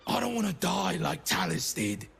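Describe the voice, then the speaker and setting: Scared bitter zombie servant from a video game, super croaky voice, pitched kinda high. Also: male voice, very animated, fairly bright tone, soft background music, exaggerated voice. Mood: anxious warning. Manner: halting, anxious, emotional delivery. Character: frightened, bitter undead zombie servant man, pleading for life. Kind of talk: video game dramatic acting.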